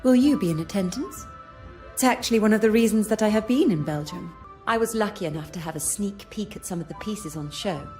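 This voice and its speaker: A woman acts a self-possessed society lady in a mystery game. Her voice is mid-pitched and articulate, her manner polite and composed, with faint music underneath. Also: fairly quick, a little mellow, close to an everyday voice. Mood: pleased, polite. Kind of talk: video game voice acting.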